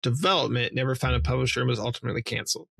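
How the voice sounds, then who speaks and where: A man's voice: medium pitch, very fast, gravelly, fairly bright tone, breathy edge, dry recording, studio quality. A man co-hosting a podcast, chatting casually among friends. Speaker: podcast co-host.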